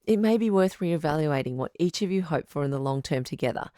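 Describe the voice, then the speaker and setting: A woman playing a calm, supportive radio advice doctor in an audio drama, speaking in a measured, articulate, professional counselling tone. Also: sits low, no room sound, clean studio sound. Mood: firm, concerned. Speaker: radio advice show host, sex therapist.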